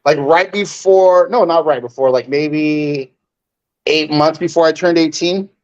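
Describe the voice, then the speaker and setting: A man's voice: fairly high pitch, fairly even intonation, a little slow, a little mellow. A young man chatting casually as a guest, rambling and filling his sentences with "like". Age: young adult. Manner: rambling, fills every gap with like. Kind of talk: casual chat. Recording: dull lo-fi sound.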